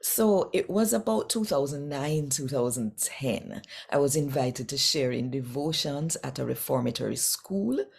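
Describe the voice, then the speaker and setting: A woman from Jamaica with a Jamaican accent, telling a faith testimony in an earnest, measured way. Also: sits low, vocal fry, a little mellow, husky. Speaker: Bible reader sharing testimony. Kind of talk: personal faith testimony to camera.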